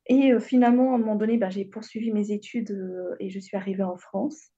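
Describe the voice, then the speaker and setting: A woman in her thirties from Réunion recounts her story as a radio guest, pausing often with fillers. Her voice is mellow and slightly breathy. The sound comes through a muffled, lo-fi line. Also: mid-range voice, fairly even intonation. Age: 30s. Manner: hesitant, frequent fillers, gentle storytelling. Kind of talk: interview, personal story.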